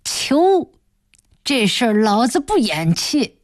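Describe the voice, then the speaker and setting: A coarse, envious village man, played by a woman reader, sneering in rustic speech. The audiobook character is drawn out and animated, dryly recorded. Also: a little high, slow, no room sound, studio quality, pitched above the performer's own voice. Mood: scornful.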